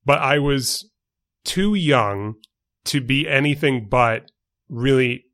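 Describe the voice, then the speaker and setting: Measured young man, careful wording. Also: mid-range voice, a bit bright. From New York City. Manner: measured, precise wording with many fillers and restarts. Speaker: podcast co-host. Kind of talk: casual chat.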